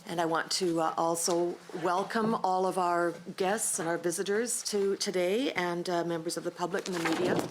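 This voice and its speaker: A woman with a lowish voice opens a public panel session, measured and formal with small pauses. Clear background noise runs under her voice on the recording. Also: fairly even intonation. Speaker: panel chair. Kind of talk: formal meeting opening.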